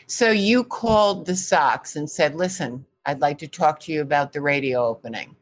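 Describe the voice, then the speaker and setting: Very low-pitched woman interviewer. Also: normal speed. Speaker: interviewer, newspaper reporter. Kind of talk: prepared interview questions.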